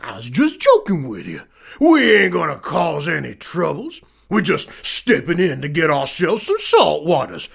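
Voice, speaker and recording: An actor playing a swaggering, mocking outlaw fish gang boss in a Western audio drama, with a raspy Old West drawl. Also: male voice, on the high side, wildly swooping pitch, unhurried, a bit bright, exaggerated voice. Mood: mocking, amused. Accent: put-on American Old West drawl. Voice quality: raspy, creaky. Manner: taunting, drawling.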